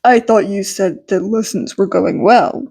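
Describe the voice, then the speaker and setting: A woman's voice: mid-range voice, fairly even intonation, measured pace, vocal fry, darkish tone, husky, pitched below the performer's own voice. A woman voicing a caring but distant husband in an audiobook story. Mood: indignant. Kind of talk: audiobook character voice.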